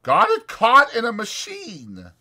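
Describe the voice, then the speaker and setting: A man's voice: very high voice, wildly swooping pitch, slow, sharp tone, husky, pitched above the performer's own voice, exaggerated voice. A man voicing a cranky, defiant old ranch hand with a blustering, folksy rural American delivery in an audiobook. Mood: sullen, evasive.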